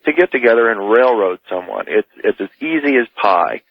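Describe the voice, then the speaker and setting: A man's voice: medium pitch, expressive, brisk, gravelly, dry recording. A man arguing politics insistently as a caller on a radio show, in a heated debate style. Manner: insistent, argumentative. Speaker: radio call-in guest. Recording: dull lo-fi radio call.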